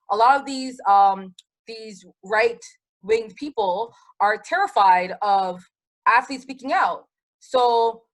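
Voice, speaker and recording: A woman from Trinidad with a Trinidadian accent, co-hosting a podcast in casual chat, animated and quick to laugh. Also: fairly high pitch, poor audio. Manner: animated, laughs easily, rapid rambling asides. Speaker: podcast co-host.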